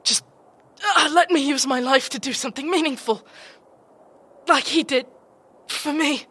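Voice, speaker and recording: A woman plays a veteran demon chieftain in a fantasy audio drama. Her high, forceful voice is urgent and strained, fierce in command and ready for sacrifice. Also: a little slow. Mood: strained, resolute.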